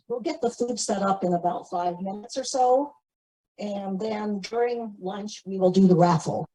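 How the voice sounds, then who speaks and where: A woman's voice: mid-range voice, dark tone, husky, dry recording, poor audio. A woman organizing a community event, giving informal announcements to a gathering.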